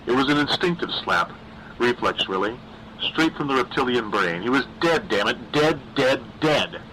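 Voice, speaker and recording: A man's voice: medium pitch, animated, moderate pace, pushed and bright, a little hiss or hum, rough recording. A man reading a scripted horror story aloud as an audiobook narrator, with dramatic emphasis.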